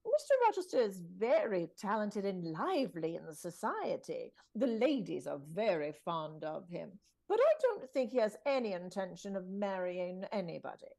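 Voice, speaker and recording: Give me a gossipy, kindly older housekeeper from old-time radio, fussy and confiding, pitch swooping dramatically up and down. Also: female voice, very animated, normal speed, gravelly, hardly any pauses, a little mellow. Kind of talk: vintage radio drama acting.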